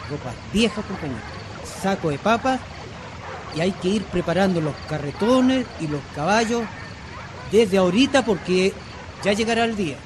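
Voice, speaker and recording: A man playing an earnest Chilean community leader in a radio drama, exhorting and pleading like an orator at an assembly. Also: high voice. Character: earnest male community leader of poor shantytown dwellers. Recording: noisy recording with crowd clamour behind.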